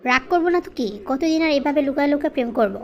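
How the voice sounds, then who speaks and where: Actress as very high-pitched, coquettish scheming mistress. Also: female voice, very fast, darkish tone, lo-fi sound, slight background noise, close to an everyday voice. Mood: demanding.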